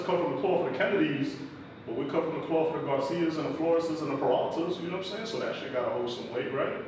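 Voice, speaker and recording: Slangy New guy in an echoey room. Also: fairly high pitch. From New York, the Bronx. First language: Spanish. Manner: loose and rambling, many fillers and street slang. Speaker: entrepreneur guest. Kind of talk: casual interview talk. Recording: echoey room, far from mic, noisy.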